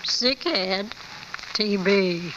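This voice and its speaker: An actress playing an unsentimental keeper of a seedy hotel, offhand and sardonic, in 1940s radio drama style. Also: female voice, mid-range voice, drawn-out words, a bit bright, slight background noise. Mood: weary, sardonic. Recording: old muffled radio recording, music underneath.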